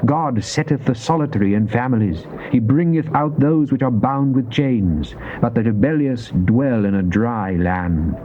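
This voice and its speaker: A man's voice: medium pitch, animated, unhurried, few pauses. A man giving a solemn, theatrical, declamatory dramatized reading of scripture. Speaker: Bible reader. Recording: dull, lo-fi cassette with faint noise.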